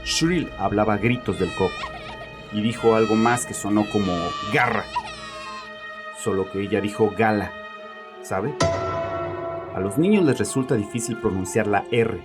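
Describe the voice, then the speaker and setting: An actor playing a troubled, chauvinist bereaved father confessing in an audio horror drama, with a haunted, halting manner. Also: on the low side, over loud music, close to an everyday voice. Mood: agitated. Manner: haunted, halting, repeats himself.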